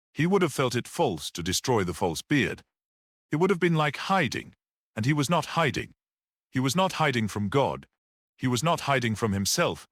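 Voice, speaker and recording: A man voicing a small, composed priest detective in an audiobook story, speaking in a measured, reflective manner. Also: mid-range voice, fairly bright tone, no echo, clean studio sound. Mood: earnest, reflective. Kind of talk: classic detective audiobook character reading.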